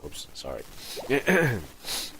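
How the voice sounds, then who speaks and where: A man's voice: mid-range voice, wildly swooping pitch, very slow, noisy background. A man livestreaming a game with casual commentary, muttering and thinking aloud in fragments. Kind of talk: casual live gaming commentary.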